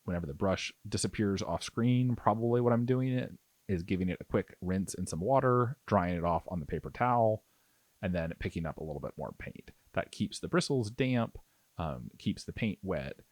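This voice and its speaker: A man with a fairly low voice talks through a hands-on tutorial. His intonation rises and falls a lot as he explains in an easygoing, reassuring manner. The sound is dry and close, with no room echo. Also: animated, moderate pace. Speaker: miniature painting instructor. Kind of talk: casual tutorial commentary.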